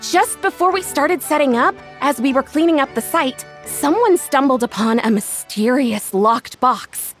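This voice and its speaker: A woman's voice: high-pitched, animated, measured pace, hardly any pauses, faint music behind. A woman voicing a perky, polite young female inventor with a proud, enterprising streak in a video game. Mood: earnest, explaining.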